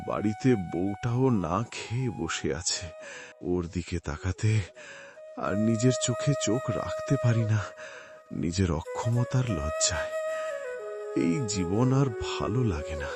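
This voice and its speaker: Man acting a low, sorrowful poor Bangladeshi husband. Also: sing-song, unhurried, long pauses. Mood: anguished. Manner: plaintive, sorrowful, pleading. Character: despairing, proud, poor middle-class husband. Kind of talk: dramatic cartoon story acting. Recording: loud music bed under voice. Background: music.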